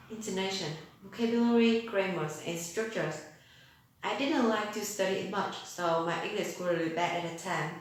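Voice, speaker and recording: A teenage girl from Vietnam speaking English with a Vietnamese accent, giving an earnest, rehearsed contest speech with rhetorical questions. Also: on the low side. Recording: very echoey room.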